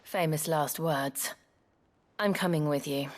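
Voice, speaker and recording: A woman's voice: sits low, slow, dry recording. A woman playing a wry, resolute companion in a video game cutscene, with a dry, direct manner. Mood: wry, determined.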